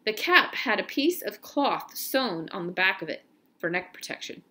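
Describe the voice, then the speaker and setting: A woman reading a children's novel aloud, somewhat haltingly, often stopping to correct herself. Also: medium pitch, sing-song, sharp tone. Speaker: read-aloud narrator.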